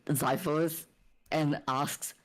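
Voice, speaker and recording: Low-voiced, slow-talking woman gamer. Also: steady tone. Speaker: player in a tabletop game. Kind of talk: casual in-game storytelling.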